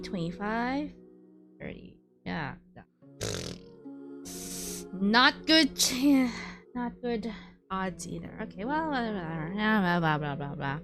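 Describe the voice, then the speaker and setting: Female game streamer, mid-pitched and unhurried, thinking aloud in casual chat, music clearly audible behind her. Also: a bit bright. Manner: muttering to self, thinking aloud. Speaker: livestreamer, VTuber. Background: background music.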